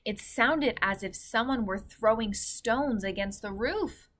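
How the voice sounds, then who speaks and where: A woman's voice: a little high, slow, a bit bright. A woman reading a children's chapter book aloud as a teacher would. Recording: faint background noise.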